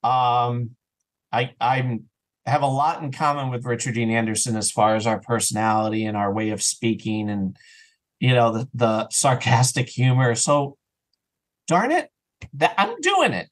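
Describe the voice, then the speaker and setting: A man with a mid-pitched voice speaks as an interview guest. He chats casually and animatedly, often breaking into his own sentences as he explains his creative process like a storyteller. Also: animated, measured pace. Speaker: audio drama writer and actor, interview guest.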